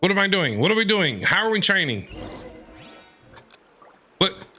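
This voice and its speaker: A man giving excited game stream commentary, exclaiming and repeating his phrases in a panicky way. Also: high-pitched, unhurried, soft background music. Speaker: streamer playing and reacting to a video game.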